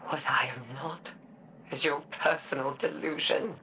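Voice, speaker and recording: Resolute female scientist for game drama: bright, intense, deliberate delivery, deeply hurt by betrayal. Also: fairly even intonation, slight background noise. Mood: wounded, defiant. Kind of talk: dramatic game cutscene acting.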